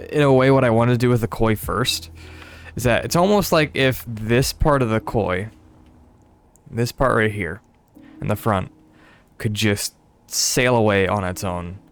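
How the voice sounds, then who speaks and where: A young man streaming a building game, talking in a casual, rambling way with many fillers and a slightly stuffy voice. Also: on the low side. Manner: rambling, hesitant, frequent laughs and fillers. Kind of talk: casual gameplay commentary.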